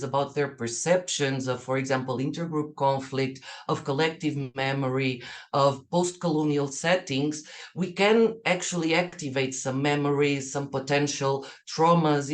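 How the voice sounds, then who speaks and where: A woman from Portugal with a Portuguese accent gives an online academic presentation as a researcher, reflective and often checking her points with "right?". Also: sits low. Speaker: academic researcher, keynote speaker. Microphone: video call.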